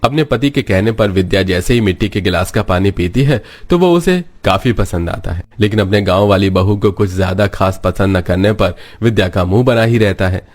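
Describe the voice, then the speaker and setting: A male narrator reading a scripted cartoon story. His voice is slightly low, and he delivers the tale as a storyteller. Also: normal speed, hardly any pauses.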